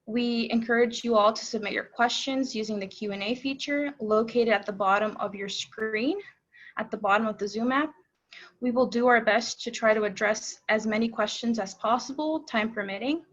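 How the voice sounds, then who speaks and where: Young woman hosting a webinar, higher voice. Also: moderate pace. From El Salvador. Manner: polite, formal announcing. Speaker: webinar host. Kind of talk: scripted webinar introduction. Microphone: video call. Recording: slightly dull video call audio.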